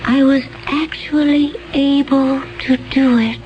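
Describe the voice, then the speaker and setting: An otherworldly young assassin. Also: female voice, sits high, fairly even intonation, lo-fi sound, slight background noise. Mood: detached. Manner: slow, measured, detached. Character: calm, otherworldly young woman assassin from another planet. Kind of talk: 1970s radio drama acting.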